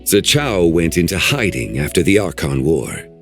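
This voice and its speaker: A man voicing an ancient male guardian in a video game, speaking in a formal, measured, old-fashioned way. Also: deep voice, very animated, soft background music. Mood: grave.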